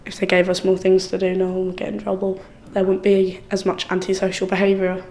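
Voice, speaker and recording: Brief: 20-year-old woman, even monotone, blunt and plain-spoken. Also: mid-range voice, brisk. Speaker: young film participant. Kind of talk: personal testimony for a short film.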